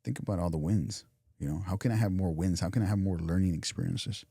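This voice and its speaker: Man, very deep mellow voice, podcast co-host, reflective motivational chatter. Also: quick pace, breathy edge, dry recording, studio quality. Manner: reflective, repeats and restarts phrases, softens into quiet imagined thoughts. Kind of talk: casual motivational chat.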